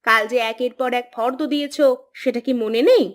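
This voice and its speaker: A woman voicing a nagging, teasing young village wife with a sharp tongue, in a folk-tale cartoon. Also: fairly high pitch, fairly quick, natural voice. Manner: scolding, teasing. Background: background music.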